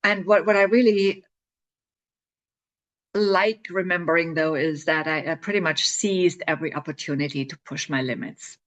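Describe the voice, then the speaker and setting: A woman's voice: fairly low pitch, normal speed. Chatty woman author telling her own stories. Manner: chatty, laughs often, restarts with repeated words. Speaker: author promoting her book. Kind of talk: casual spoken storytelling to camera.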